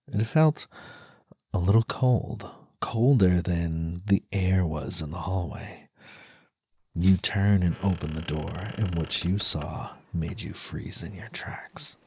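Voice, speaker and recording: A man narrating second-person ASMR fiction in a breathy, husky, suspenseful hush that often drops to a near whisper, close to the microphone. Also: low voice, a little hiss or hum. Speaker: audio storyteller, ASMR performer. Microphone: close dry microphone.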